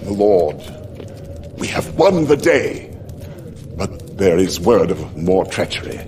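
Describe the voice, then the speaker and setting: A man's voice: low voice, big pitch swings, slow pace, a little mellow, slight background noise, heavily acted. An actor playing a middle-aged, loyal military aide in a game, dramatic and deferential toward his lord. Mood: grave, alarmed. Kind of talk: video game cutscene acting.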